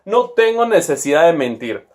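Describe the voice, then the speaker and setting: A man's voice: fairly high pitch, big pitch swings, moderate pace. A middle-aged man with a Guatemalan accent, telling a rambling, crude story in casual slang. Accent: Guatemalan Spanish. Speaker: toy seller and vlogger.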